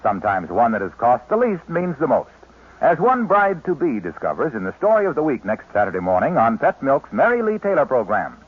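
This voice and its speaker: An upbeat male radio announcer reading a 1950s commercial. His voice is lively, a bit high and husky. The sound is thin and phone-like, from an old broadcast. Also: brisk.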